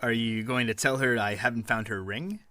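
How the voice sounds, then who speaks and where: A man giving dry, deadpan hard-boiled detective narration in a comic noir parody. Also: mid-range voice, normal speed, dry recording.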